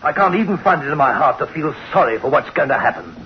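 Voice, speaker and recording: A man's voice: a little high, expressive, fast talker. An actor in a 1960s radio drama playing a weak, nervous husband, hesitant and stammering in his agitation. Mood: bitter, angry. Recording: muffled noisy old radio recording.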